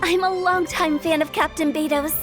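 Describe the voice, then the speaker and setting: Sweet earnest fan girl in a game, really high voice, polite, music behind. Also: steady tone, moderate pace. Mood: hopeful, admiring. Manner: earnest, courteous. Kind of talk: game dialogue acting.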